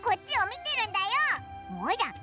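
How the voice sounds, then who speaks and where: A woman voicing a tiny, excitable childlike fairy companion in a video game, squeaky and exclamatory. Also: rapid-fire, heavily acted. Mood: chatty, teasing. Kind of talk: animated video game voice acting. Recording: game audio under loud music.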